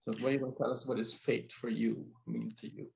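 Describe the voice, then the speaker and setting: Male host, sincere, halting, gravelly fry, muffled recording. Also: mid-range voice, flat delivery, darkish tone. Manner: sincere, halting, repeats words. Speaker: podcast host. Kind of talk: casual interview, introducing a guest.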